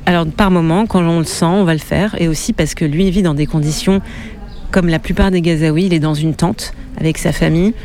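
Female journalist, interviewee; measured, thoughtful delivery with frequent hesitations. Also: lowish voice, steady tone, dark tone. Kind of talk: interview answers.

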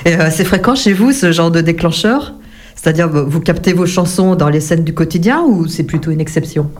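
A woman interviewing a songwriter, asking courteous, measured questions with frequent pauses. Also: on the low side, animated, darkish tone. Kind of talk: radio-style interview.

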